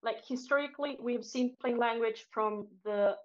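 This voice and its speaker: Halting woman, slightly muffled. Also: fairly high pitch. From Argentina. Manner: halting, searching for words in English. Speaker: board member, guest. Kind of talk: podcast interview.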